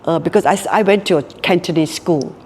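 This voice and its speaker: Reflective Singaporean woman, lowish voice. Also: fairly quick, darkish tone, in a large hall, a little hiss or hum. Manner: reflective, repeats words while thinking. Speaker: guest, hotel group co-founder. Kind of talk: casual interview talk.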